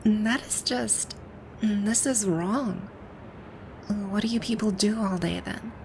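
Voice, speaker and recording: A woman's voice: medium pitch, slow, darkish tone, a little hiss or hum, low-quality recording. A woman acting a haughty, bewildered video-game villainess in an ASMR roleplay, with pompous, commanding, old-fashioned phrasing. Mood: dismayed, incredulous.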